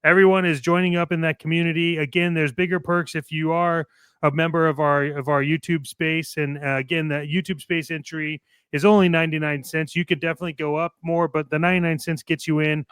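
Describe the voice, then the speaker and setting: A man's voice: a little high, little pitch movement, fairly quick, a bit bright, clear, resonant tone, no room sound. A man co-hosting a toy collecting show, playful and enthusiastic, moving between ad reads and casual banter.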